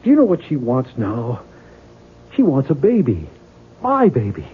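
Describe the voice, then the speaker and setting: A man's voice: medium pitch, wildly swooping pitch, unhurried. A weary, anguished male novelist in a radio mystery drama. He pleads earnestly and emphatically in a middling register. The sound is narrow and faintly noisy, like a 1970s broadcast. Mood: anguished, desperate. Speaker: actor.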